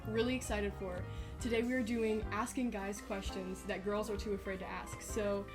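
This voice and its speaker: A woman's voice: medium pitch, level tone, moderate pace, music in the background. An eighteen-year-old woman hosting a podcast in casual chat, chatty and giggly with lots of fillers. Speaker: podcast host.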